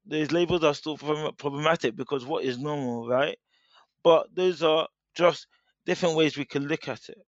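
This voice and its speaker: Measured man explaining. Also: a little high, dry recording, dull audio. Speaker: guest speaker. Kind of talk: interview, explaining at length.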